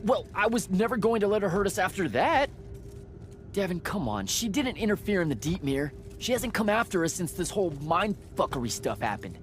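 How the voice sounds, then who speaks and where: Young battlemage for a game cutscene: highish, very animated, earnest, halting, defensive. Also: male voice, faint music behind. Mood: flustered, pleading. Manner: stammering, halting, pleading. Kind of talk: video game cutscene acting.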